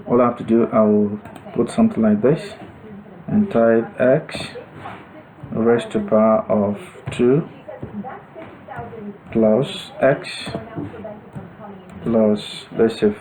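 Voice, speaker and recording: Slow, mellow male tutorial instructor. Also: mid-range voice, rough recording. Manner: halting, thinking aloud as he goes. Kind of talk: unscripted step-by-step screen demonstration.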